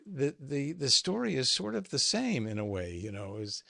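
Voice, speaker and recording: A man interviews a filmmaker. He asks long, winding questions in a gravelly voice. The sound is dry and close. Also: mid-range voice, sing-song, moderate pace, pushed and bright, no echo.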